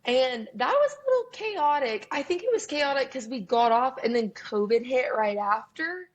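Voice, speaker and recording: Raspy-voiced woman in her 20s, guest on a chat show, kinda high voice, super open and chatty. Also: very animated, moderate pace, lo-fi sound, a little hiss or hum. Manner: candid, chatty, laughs often. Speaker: guest musician. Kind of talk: casual interview chat. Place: Nashville.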